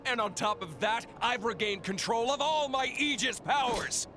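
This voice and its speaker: A man's voice: very high voice, moderate pace. An actor playing a cruel, mocking, theatrical male villain in a video game cutscene. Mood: gloating. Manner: taunting, sneering, theatrical. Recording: loud background music.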